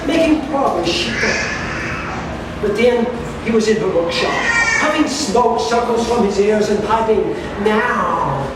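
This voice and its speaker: A storyteller reads a steampunk fairy tale aloud to a live gathering, occasionally tripping on a word and repeating it. The voice sits at some distance from the mic in a heavily echoing space, with clear noise behind it. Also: medium pitch, lively intonation, measured pace. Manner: narrative reading with stumbles and repeated words. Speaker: author reading at a live storytelling event. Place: live event space at a carnival. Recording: very echoey, noisy room, distant mic.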